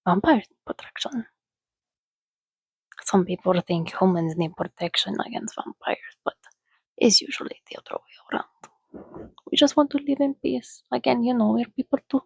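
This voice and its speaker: A woman speaking in a hushed, soothing, often whispered ASMR style, as if calming a companion. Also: on the high side, moderate pace, gravelly, long pauses, slightly rough, no room sound. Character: calm, sociable female vampire soothing a companion. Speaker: ASMR host. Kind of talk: soft-spoken ASMR reading and roleplay.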